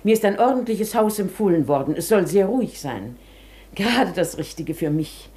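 A woman's voice: on the low side, expressive, moderate pace, dark tone, lightly acted. An actress in a German radio play playing an ailing, wistful older woman of modest means, proudly reserved and hesitant. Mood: guarded, hesitant.

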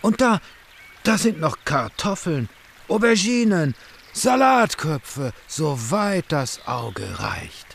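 Male actor as stubborn hermit monk, children's radio play, swooping intonation, gravelly fry, faint background ambience. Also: on the high side, big pitch swings, slow, a bit bright, slight background noise, heavily acted. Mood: contented, savoring. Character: solitary Buddhist monk, content but grudging and stubborn.

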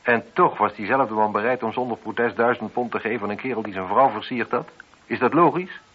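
An actor in a radio play voicing a sceptical, commanding police inspector with a dry, sardonic, probing manner. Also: male voice, fairly low pitch, husky. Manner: dry, sardonic, brisk with subordinates. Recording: muffled, lo-fi radio drama recording.

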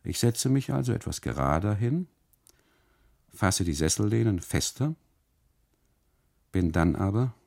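A man narrating a literary radio monologue in deliberate phrases, with dramatic pauses and emphasis. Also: on the low side, lively intonation, gravelly, a little mellow. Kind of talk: literary first-person narration, 1980s German radio play.

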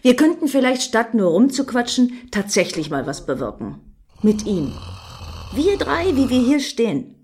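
A woman's voice: on the high side, big pitch swings, moderate pace, a little mellow, breathy edge, exaggerated voice. An actress playing an earnest, reproachful and solemn female devotee in a German radio play. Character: earnest, indignant female devotee on a protective mission. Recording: faint noise and clicks.

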